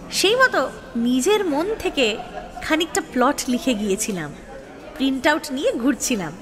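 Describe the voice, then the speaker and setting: High, animated, scheming young woman in love, acted by a woman. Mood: wry, confiding. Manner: expressive, exasperated, confiding. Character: scheming, proud, restless young woman in love, narrating her story. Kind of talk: dramatic first-person audio story narration. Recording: long reverb, music behind. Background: music.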